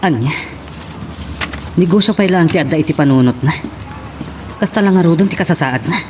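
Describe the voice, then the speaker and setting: A woman's voice: deep voice, animated, fairly quick, a little mellow, a little hiss or hum. An actress with an Ilocano accent playing an exasperated daughter pleading with her mother in a radio drama.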